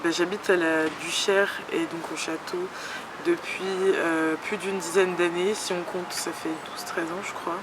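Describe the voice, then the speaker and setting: A woman's voice: lowish voice, flat delivery, unhurried, background noise. A woman of twenty from France, a resident, introducing herself hesitantly with many fillers. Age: 20s. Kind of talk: recorded testimony.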